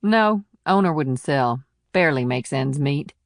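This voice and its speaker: A man's voice: a little high, expressive, unhurried, gravelly, dry recording, studio quality. A man voicing a plain-spoken, wry and weary small-town policewoman in an audiobook, talking in clipped, folksy sentences. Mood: matter-of-fact, jaded. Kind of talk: audiobook character dialogue.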